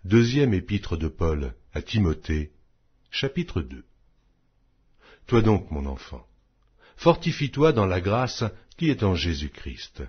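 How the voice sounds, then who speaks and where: A man reads scripture solemnly in a fairly low, husky voice. His phrasing is measured and declamatory, rising and falling with very lively intonation. The recording is slightly dull and muffled. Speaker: Bible audiobook narrator.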